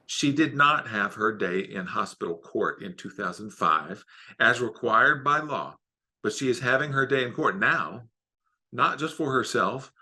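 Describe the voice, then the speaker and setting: Very bright, forceful man. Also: mid-range voice, expressive, unhurried. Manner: emphatic, declarative. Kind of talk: formal advocacy statement.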